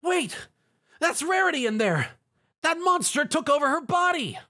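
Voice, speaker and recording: Guy doing a scared little storybook dragon, way high voice, pleading and urgent. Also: sing-song, measured pace, pushed and bright, full character voice. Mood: panicked pleading. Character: frightened young male dragon, pleading for help.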